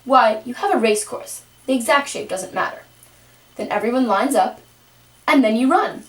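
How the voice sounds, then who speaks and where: A woman's voice: fairly high pitch, normal speed, lightly acted. A woman voicing an officious, wordy dodo who explains things formally in a puppet show. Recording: roomy, distant home microphone.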